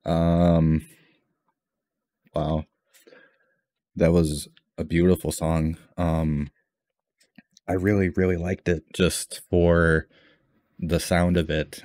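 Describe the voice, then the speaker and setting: A man hosting a music reaction video, talking in a hesitant, reflective way with lots of pauses and ums. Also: very deep voice, a little mellow, no echo, studio quality. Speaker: music reaction channel host. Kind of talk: casual reaction commentary.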